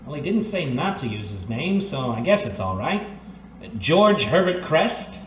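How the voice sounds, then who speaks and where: Actor as reformed-thief private eye, earnest, hesitant, animated intonation, radio noir, echoey room. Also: male voice, fairly high pitch, unhurried. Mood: nervous, polite. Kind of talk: old-time radio detective drama acting. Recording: roomy, distant recording with faint noise.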